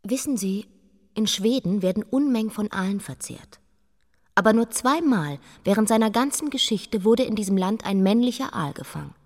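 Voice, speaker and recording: Stubborn proud young queen, radio play, sardonic and bossy, medium pitch, lots of hall reverb. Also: expressive, normal speed, darkish tone. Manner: commanding, direct, sardonic. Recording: echoing hall with long reverb.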